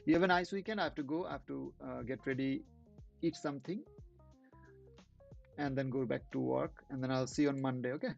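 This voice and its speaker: A man whose first language is not English, hosting a trading channel and commenting casually on live charts in halting speech with many restarts. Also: medium pitch, creaky, a little mellow, muffled recording, soft background music.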